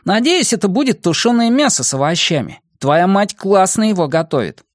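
Practical boy for a storybook played by a man: emphatic, blunt, excitable, raised pitch, dry recording. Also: moderate pace, dry recording. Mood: eager.

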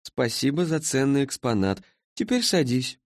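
Man voicing a languid high-pitched woman from the future. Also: very animated, normal speed, no room sound, clean studio sound, close to an everyday voice. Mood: languidly pleased. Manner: listless drawl, clinical, detached. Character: languid, sleepy-voiced female being from a far future.

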